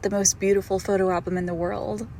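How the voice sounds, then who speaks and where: A woman playing a doting, possessive girlfriend who is secretly a monster, in an intimate, hushed roleplay audio drama. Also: medium pitch, level tone, a little hiss or hum, lightly acted. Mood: loving, intimate. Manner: slow, hushed, tender, often dropping to a whisper.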